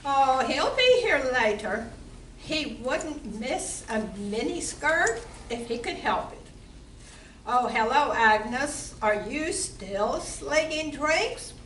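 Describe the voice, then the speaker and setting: A woman acting a bright, brassy barroom singer. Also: high voice, lively intonation, slow, exaggerated voice. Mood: breezy, teasing. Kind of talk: amateur stage comedy radio play acting. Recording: echoey room from far off, background noise.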